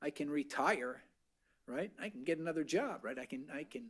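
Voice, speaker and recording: A man's voice: on the high side, expressive, moderate pace, fairly bright tone, slightly rough. Male finance presenter, conversational and explanatory, habitual "right?" tags. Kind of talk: meeting discussion.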